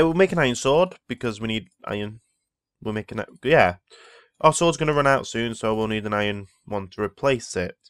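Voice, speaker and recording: A man who is feeling ill gives rambling, easily impressed commentary as he plays. His voice sits at a middling pitch, recorded dry and close on a desktop mic. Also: no room sound. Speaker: Minecraft let's player. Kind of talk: casual gameplay commentary.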